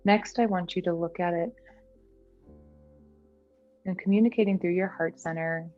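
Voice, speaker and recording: A woman guiding a meditation in a gentle, soothing, unhurried manner. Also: lowish voice, steady tone, dull audio. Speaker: meditation guide. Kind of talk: guided meditation. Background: background music.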